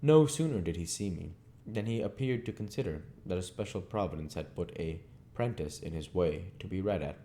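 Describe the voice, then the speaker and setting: A man's voice: low-pitched, lively intonation, fairly quick, mellow, slight background noise. A man reading a classic novel aloud as narrator, now and then stumbling over words and correcting himself. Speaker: audiobook reader.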